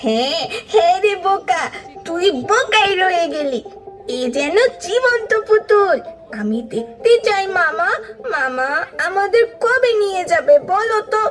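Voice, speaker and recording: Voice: very high-pitched, very animated, unhurried, heavily acted. A performer voicing a cheerful, bossy child puppet in a children's puppet show, exclaiming and coaxing in a singsong way. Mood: excited, teasing. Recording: music behind the voice.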